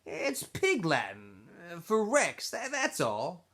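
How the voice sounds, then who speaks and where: Man acting a teenage boy, small gang leader, pushy, coaxing, raised lively pitch, storybook character. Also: takes it slowly, exaggerated voice. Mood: wheedling, self-justifying.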